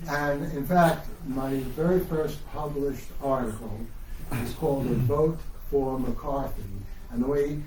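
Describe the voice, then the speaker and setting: An older man with a New York accent talks in a rambling, digressive way to a small gathering. His voice sits on the low-middle side and is picked up from a distance in an echoey room, with faint noise underneath. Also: unhurried, a little mellow. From New York City, the Bronx. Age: 70s. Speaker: author speaking at a book event. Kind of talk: casual anecdotal talk to an audience. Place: indoor bookstore event, picked up from a distance.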